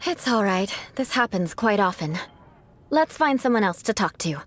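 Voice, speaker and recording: An actress voicing a proud, reserved young noblewoman knight in a video game, speaking in a formal, measured way. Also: mid-range voice, big pitch swings, slight background noise, lightly acted. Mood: resigned. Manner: formal, measured aristocratic speech.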